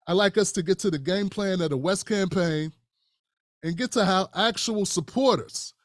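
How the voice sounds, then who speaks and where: A man hosting a live stream, his voice sitting a bit higher than most men's. He talks in a measured way, circling back with fillers and restarts. The sound is dry and close, with no room in it. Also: no echo. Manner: measured, many fillers and restarts, calls guest brother. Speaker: host.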